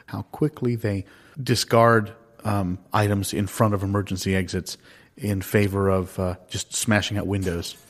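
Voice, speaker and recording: A man improvising deadpan comic banter in a halting, musing, mock-serious way. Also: lowish voice. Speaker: improv comedy performer.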